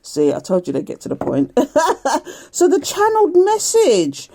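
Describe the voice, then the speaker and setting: A middle-aged woman a croaky voice giving a casual daily tarot reading, chatty and laughing often. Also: high-pitched, wildly swooping pitch, unhurried, warm tone. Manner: chatty, laughs often, reads aloud from a card booklet. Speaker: tarot reader.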